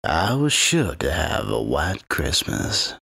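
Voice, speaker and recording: A man playing a patient, sinister undead wight who gloats as he narrates a dark fantasy audio drama in a gravelly, husky voice. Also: extremely low pitch, wildly swooping pitch, slow pace, exaggerated voice. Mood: smug, gloating.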